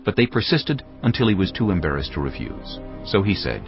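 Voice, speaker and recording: A man narrating scripture as a scripted drama, solemn and measured. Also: sits low, big pitch swings. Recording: dull lo-fi sound, music underneath. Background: faint music.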